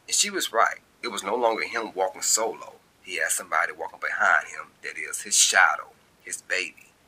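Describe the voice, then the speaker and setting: A man reading a short story as its narrator, in an expressive, colloquial storytelling style. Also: low voice, slight background noise, rough recording. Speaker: author and narrator.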